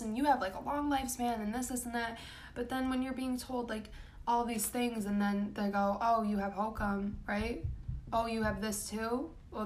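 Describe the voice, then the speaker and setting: Young woman, raspy gravelly voice, rambling vlog talk, faint room noise. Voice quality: raspy, low voice. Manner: casual, rambling, frequent ums and likes. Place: home room.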